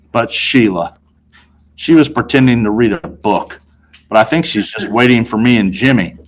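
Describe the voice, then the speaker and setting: A man reading a children's novel aloud in an expressive, conversational way, telling it as a boy in the first person. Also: mid-range voice, poor audio. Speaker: storybook reader.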